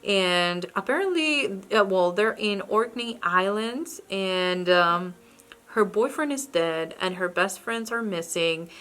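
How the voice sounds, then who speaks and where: A woman's voice: medium pitch, fairly bright tone. Chatty woman with a mid-range voice. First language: not English. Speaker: book vlogger. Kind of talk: casual book haul chat.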